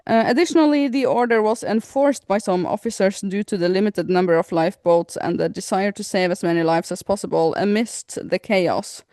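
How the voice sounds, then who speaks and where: A woman's voice: medium pitch, brisk, hardly any pauses, warm tone, dry recording, studio quality. A woman from Norway chatting informally as a podcast co-host, dropping loose asides as she goes. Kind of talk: casual chat.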